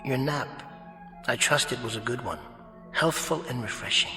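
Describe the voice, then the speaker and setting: An actor playing a smug, sly tempter spirit in a radio drama, taunting in a silky, formal, theatrical manner. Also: male voice, mid-range voice, normal speed, pushed and bright. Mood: sly amusement. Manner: mocking, silky, formal, goading. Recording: echoey hall reverb, music underneath.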